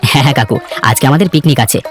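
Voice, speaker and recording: A man's voice: medium pitch, racing, music in the background, natural voice. A man voicing a cheerful, sociable young village man in a cartoon story, eager and friendly.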